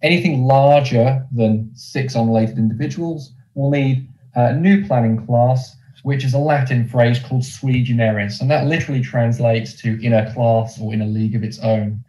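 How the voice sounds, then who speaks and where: A man with a Norfolk English accent presents an explanatory webinar. His voice is mellow and rounded, at a middling pitch for a man. The room adds a clear echo around his words. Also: moderate pace, hardly any pauses. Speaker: presenter, mortgage lender representative.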